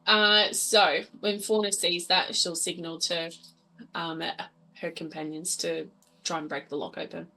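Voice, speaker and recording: A woman's voice: fairly low pitch, little pitch movement, a little slow, pushed and bright, low-quality recording. A woman playing a tabletop role-playing game, improvising in character in a playful, sweary, casual way. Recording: music behind the voice.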